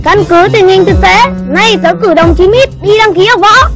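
A very high-pitched, boastful young cartoon boy, full of excitement. He is bossy and emphatic in his delivery. Music plays clearly behind him. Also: moderate pace. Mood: eager. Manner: emphatic, exclamatory. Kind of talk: Vietnamese cartoon voice acting.

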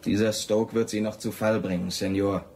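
An actor playing an earnest, worried Brazilian companion in a German radio drama, in a cautioning manner. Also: male voice, on the low side, little pitch movement, moderate pace, darkish tone, slight background noise, natural voice. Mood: worried, pleading. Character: earnest, worried loyal Brazilian companion warning his master.

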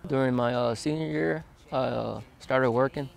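A man in his twenties, interviewed outdoors in a desert yard, speaking plainly with many hesitant pauses. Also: mid-range voice, creaky. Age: 20s. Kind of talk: documentary interview, recounting his past.

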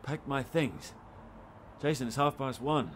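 A man acts out a weary male doctor in an audio drama. He is irritable and sceptical, with a mid-pitched, very animated delivery and faint noise behind. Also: unhurried, lightly acted. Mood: exasperated.

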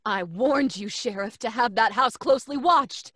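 A woman's voice: fairly high pitch, moderate pace, fairly bright tone, no room sound, studio quality. An actress playing a stern woman doctor, urgent and scolding, in old-time radio style. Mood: insistent. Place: dim home recording space. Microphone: large studio condenser, close.

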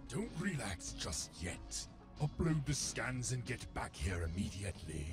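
A man's voice: medium pitch, big pitch swings, unhurried. A man playing a cold, curt alien warlord villain in a video game cutscene. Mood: commanding. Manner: curt, imperious. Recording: game audio with loud music.

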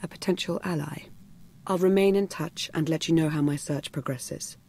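A woman playing a composed, secretive and commanding agent in a video game, formal and measured. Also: low-pitched, fairly even intonation, a little mellow, husky. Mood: resolute. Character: composed, secretive female dark-order agent, commanding and grave.

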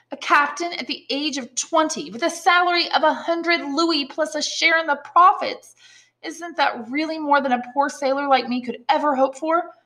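A woman reading an audiobook as an affectionate, excitable young male sailor of twenty. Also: sits high, pitched above the performer's own voice. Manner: earnest, excitable. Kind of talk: audiobook storytelling.

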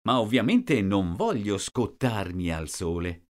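A man slowly reading out short sentences, each one twice, for a language lesson. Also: fairly low pitch, sing-song, a little mellow. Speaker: language teacher. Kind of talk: slow repeated phrase reading.

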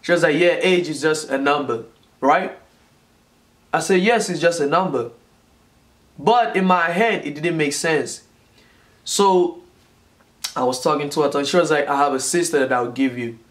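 A man in his twenties from Nigeria tells a story straight to a camera in a small room at home. His voice sits a little high for a man. He talks in a lively, gesturing way and hammers his points home by repeating them. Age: 20s. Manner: animated storytelling, repeats phrases for emphasis, gestures a lot. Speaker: vlogger. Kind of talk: casual storytime vlog. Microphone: camera in front, close framing.

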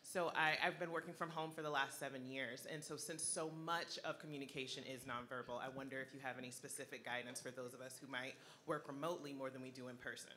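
A woman's voice: extremely low pitch, steady tone, quick pace, hardly any pauses, bright, forceful tone. A woman in the audience asking a question from the floor, in a polite, slightly hesitant manner. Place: large conference hall.

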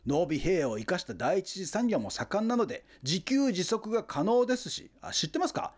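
Relentless civic fanatic for comic radio play; high, very animated, insistent and pompous. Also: male voice, brisk. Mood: grandly boastful. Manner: insistent, lecturing, grandiose. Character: pushy, fanatical Nagoya booster, indignant salesman-like man. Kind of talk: comic radio drama dialogue.

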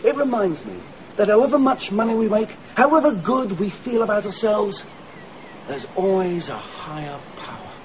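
Radio drama role: genial, dreamy husband, higher-set animated voice, slightly dull sound. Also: male voice, moderate pace, slight background noise. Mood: wistful. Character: genial, romantic husband, parish council chair.

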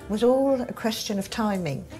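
A woman's voice: on the high side, moderate pace. An older woman recalling housework as a neighbour, talking in a practical, matter-of-fact way in a kitchen, with music playing underneath. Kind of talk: casual chat. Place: period-style kitchen, indoors. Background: soft music.

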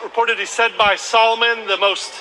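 A man's voice: very high-pitched, steady tone, fairly quick, pushed and bright, some chatter behind, low-quality recording. A man in his thirties giving an open-air Bible talk into a handheld microphone in a teacherly, explaining way. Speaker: street preacher. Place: outdoor plaza by historic buildings. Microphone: handheld microphone through a speaker. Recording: outdoor street noise.

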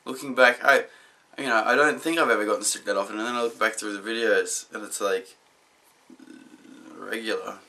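A young man vlogs casually in a low voice made husky by being sick. His tone has a bright edge, and he rambles in a dry, self-deprecating way. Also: fairly even intonation, brisk. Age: young adult. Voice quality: husky, deep from illness. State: sick, voice gone deep. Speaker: video blogger. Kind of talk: rambling casual vlog to camera. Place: small room indoors.

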